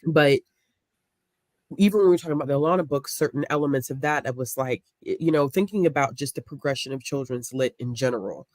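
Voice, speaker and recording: A woman's voice: very deep voice, quick pace, no echo. Emphatic woman chatting, dry close sound. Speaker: book discussion co-host. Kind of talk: casual group book discussion. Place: online group video call.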